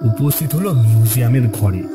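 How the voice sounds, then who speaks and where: A man's voice: mid-range voice, lively intonation, a little fast. A man narrating a scripted ghost story in a dramatic storytelling style. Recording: clear music bed under narration. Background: music.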